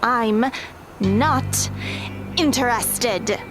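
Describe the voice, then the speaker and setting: A woman's voice: a little high, drawn-out words. A woman playing a blunt, guarded teenage girl in an audio drama, firm and frank. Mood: firm, annoyed. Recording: music under the voice.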